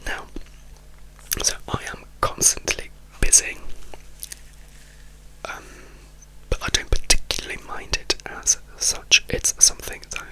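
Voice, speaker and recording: Man, ASMR whisper, unhurried, bright airy whisper, halting restarts. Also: poor audio. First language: Italian. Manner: soft, slow, intimate whisper with frequent restarts. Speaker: ASMR YouTuber. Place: indoors, home room.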